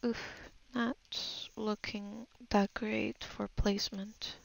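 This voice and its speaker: A woman's voice: mid-range voice, little pitch movement, takes it slowly, creaky, rough recording. A woman giving a casual, unscripted voice-over art tutorial, thinking aloud in a hesitant, murmuring way as she assesses her work. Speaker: digital art tutorial narrator.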